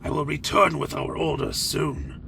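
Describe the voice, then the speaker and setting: An actor playing a brusque, admonishing vampire warrior with a creaky voice in an audio drama. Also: male voice, a little high, big pitch swings, normal speed, background noise, heavily acted. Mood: stern, reproving.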